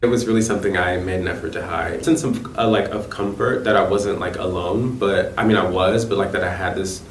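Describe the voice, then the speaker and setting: A man's voice: deep voice, fairly even intonation, a little fast. A young man from North Carolina, reflective and full of "like" fillers, answering documentary interview questions. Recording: roomy, distant mic, faint noise.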